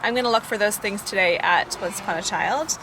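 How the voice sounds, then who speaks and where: A woman's voice: medium pitch, brisk. A woman in her thirties chatting casually as a family vlogger and mother. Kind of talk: casual vlog chat. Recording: clear background noise.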